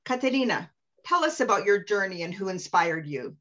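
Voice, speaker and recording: A woman's voice: medium pitch, expressive, fairly quick, a bit bright. Gracious woman moderator on a muffled call. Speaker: webinar moderator. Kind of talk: moderating and introducing guests. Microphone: video call. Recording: muffled lo-fi video call audio.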